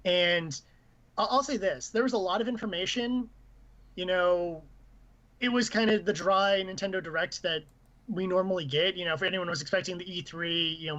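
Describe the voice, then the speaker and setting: A man's voice: high voice, bright, forceful tone. A man co-hosting a gaming livestream, chatting casually in a rambling, opinionated way. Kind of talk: casual chat and commentary on games news. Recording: muffled, lo-fi stream audio.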